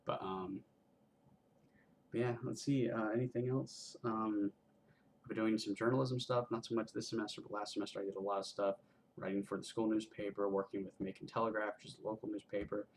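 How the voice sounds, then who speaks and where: A man's voice: on the low side, fairly even intonation, a little fast, a little hiss or hum, low-quality recording. A man of twenty-one chatting casually in a vlog, rambling with lots of ums and restarts, making self-deprecating jokes. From Georgia, US. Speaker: vlogger, college student filmmaker.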